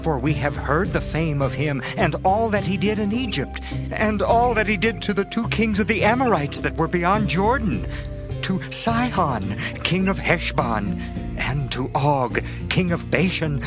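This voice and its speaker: A meek, travel-worn envoy from a distant land, played by a man, addressing a leader in a scripture drama. The voice sits fairly high, reverent and measured, over clear background music. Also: lively intonation. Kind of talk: dramatized Bible reading.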